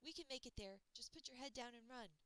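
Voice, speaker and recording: A woman voicing an adventurous, excitable young girl in a children's storybook, eager and exclaiming. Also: fairly high pitch, a little fast, dry recording, pitched above the performer's own voice, close to an everyday voice. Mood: urgent.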